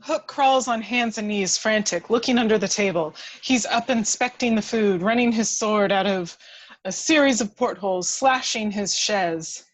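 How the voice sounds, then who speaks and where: A woman's voice: medium pitch, normal speed, low-quality recording. A woman reading scripted action descriptions as the narrator of a table read. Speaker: stage-direction reader.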